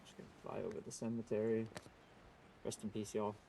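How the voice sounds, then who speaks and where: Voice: medium pitch, flat delivery, fairly quick, creaky, mellow, slight background noise, poor audio. A game streamer giving casual live commentary, chatty and playfully reactive, with game music behind the voice.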